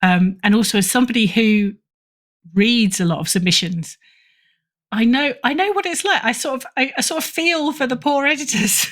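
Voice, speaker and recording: A woman's voice: a little high, moderate pace, fairly bright tone. A middle-aged woman talking casually as an interview guest, chatty and self-deprecating. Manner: self-deprecating, chatty, chuckles through remarks. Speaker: podcast guest, writer and editor.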